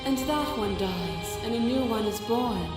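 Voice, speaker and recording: A woman's voice: on the high side, animated, unhurried. A woman playing a serene, imperious cosmic goddess in a visual novel, speaking with solemn grandeur and absolute conviction. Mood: serene certainty. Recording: long echoing reverb, music behind.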